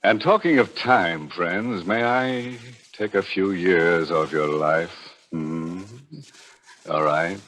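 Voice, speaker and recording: A macabre radio horror host, deep and unhurried, savouring every ghoulish quip with sinister glee. It is tongue-in-cheek 1940s broadcast acting over music. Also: male voice, a bit bright, low-quality recording. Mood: ominous, playful. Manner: drawn-out, mock-sinister, droll.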